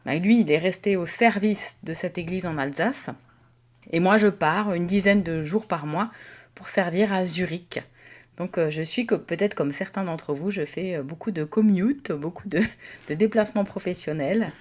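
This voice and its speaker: A woman pastor co-hosting a podcast, speaking in a hesitant way with many fillers and occasional soft laughs. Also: lowish voice, moderate pace. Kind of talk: casual conversation.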